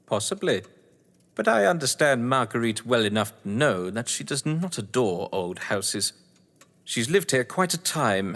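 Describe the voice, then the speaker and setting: A man acting a suave upper-class English husband in an audio drama, sardonic and condescending. His medium-pitched voice swoops theatrically through wordy, arch phrases, ringing in a long hall-like reverb. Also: fairly bright tone.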